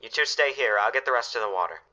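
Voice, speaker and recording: The sound is dull and band-limited, and the voice is forceful and very bright. An actor plays a family father in a radio play, giving firm orders and steady reassurance under pressure. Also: mid-range voice, fast. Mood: firm, reassuring. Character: steady, commanding family father preparing for disaster. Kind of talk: radio drama acting.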